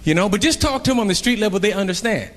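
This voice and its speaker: A young man performing a stand-up comedy set on a club stage, telling animated stories and acting out characters. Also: high voice, very fast. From Columbus, Ohio. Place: comedy club stage before a laughing crowd. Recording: live club recording with crowd laughter and noise.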